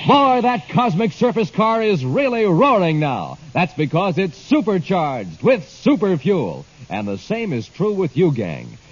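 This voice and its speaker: A man announcing a 1950s radio commercial for children with an excited, folksy hard sell. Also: high-pitched, unhurried, hardly any pauses, slight background noise. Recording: dull old radio recording.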